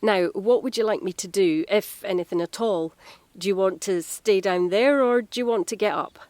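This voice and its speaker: An actress playing a friendly, chatty, gently teasing Scottish home carer in an audio drama. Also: female voice, mid-range voice, moderate pace, dry recording, natural voice.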